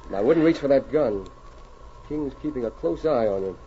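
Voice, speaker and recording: Man as animated, commanding radio Mountie. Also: medium pitch, lightly acted. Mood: firm, calm. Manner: firm, authoritative, measured. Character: commanding male Mountie sergeant, calm, firm lawman hero. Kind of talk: 1940s radio acting. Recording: noisy, muffled old radio recording.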